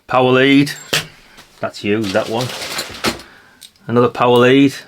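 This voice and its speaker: A man's voice: medium pitch, fairly even intonation, unhurried. Rambling British man with noisy background. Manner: rambling, musing aloud, chatty asides to viewers. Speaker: vlogger showing a haul of vintage CB radios. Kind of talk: casual hands-on show-and-tell.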